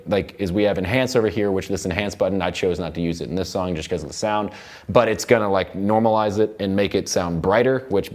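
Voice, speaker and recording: A young man explaining music software in a casual, loosely worded tutorial style, repeating words as he goes. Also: sits low. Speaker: music production instructor. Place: recording studio control room.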